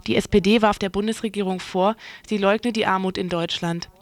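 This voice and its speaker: A woman's voice: medium pitch, steady tone, a little fast, no room sound. A woman working as a radio newsreader, speaking into a studio microphone in a booth. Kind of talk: scripted news reading.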